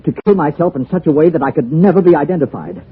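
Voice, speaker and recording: Desperate, husky-voiced man, old radio. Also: on the high side, lively intonation. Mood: desperate, pleading. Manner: halting, pleading. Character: desperate, evasive middle-aged man with a secret, pleading. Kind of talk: 1940s-50s American radio drama acting. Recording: tinny, band-limited vintage radio recording.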